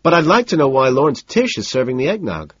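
A man voicing a jovial, self-assured yuppie socialite banker in an audiobook story, loud and hearty. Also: medium pitch, sing-song, quick pace, husky, no room sound, highs cut off, pitched above the performer's own voice. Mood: breezy.

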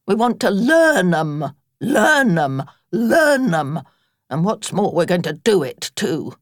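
A woman voicing a gruff, bossy older female badger in a storybook reading, brusque and commanding. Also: low voice, expressive, normal speed, darkish tone, dry recording, clean studio sound. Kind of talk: audiobook character reading.

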